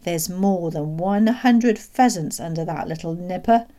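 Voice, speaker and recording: A proud country father with a sly streak, voiced by a woman reading a storybook. He speaks cheerfully and confidingly, in a mellow tone with little edge. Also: on the low side, animated, normal speed. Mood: happy, boastful. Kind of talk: children's storybook read aloud.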